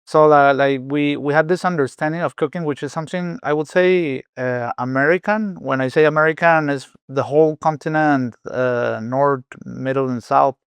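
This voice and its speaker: Smooth-voiced Venezuelan-raised chef. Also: male voice, fairly high pitch, normal speed, hardly any pauses, dry recording. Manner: thoughtful, many restarts and fillers. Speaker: chef, guest. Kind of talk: podcast interview.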